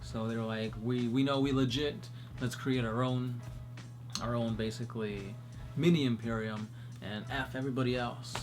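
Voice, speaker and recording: A man co-hosting a casual chat, answering fan questions in a relaxed, chatty way. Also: medium pitch, normal speed, music in the background. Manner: relaxed, chatty, many fillers. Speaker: podcast co-host.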